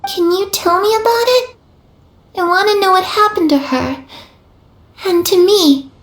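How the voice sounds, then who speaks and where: A woman's voice: very high voice, measured pace, echoey room. A woman voicing a cheerful, trusting young girl ghost who talks earnestly and childlike in a game cutscene. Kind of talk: video game cutscene voice acting.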